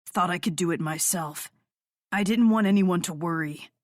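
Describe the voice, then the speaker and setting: A woman voicing a stubborn young man sick from withdrawal, speaking weakly in a low, hollow voice. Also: moderate pace, no echo, studio quality, close to an everyday voice.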